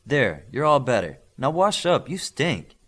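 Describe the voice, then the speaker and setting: A man voicing a young female superhero healer in an audiobook, brisk, bossy and matter-of-fact. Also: mid-range voice, big pitch swings, a bit bright, voice pushed up. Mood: teasing scolding.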